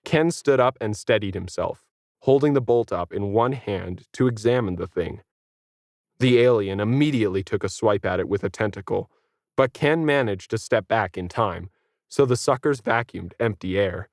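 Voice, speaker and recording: A man's voice: fairly low pitch, moderate pace, dry recording, studio quality. A man reading scripted fiction as a story narrator.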